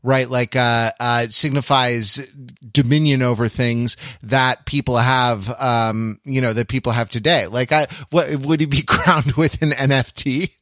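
A man with a medium-pitched voice chats casually on a podcast panel. He feels his way through sentences haltingly and riffs playfully as he thinks aloud. The sound is dry, with no room echo. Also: steady tone, a bit bright. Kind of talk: casual chat.

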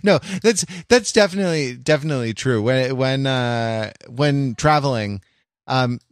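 A man chatting casually as a podcast panelist, in a jokey, halting way. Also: mid-range voice, dry recording, studio quality. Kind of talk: casual chat.

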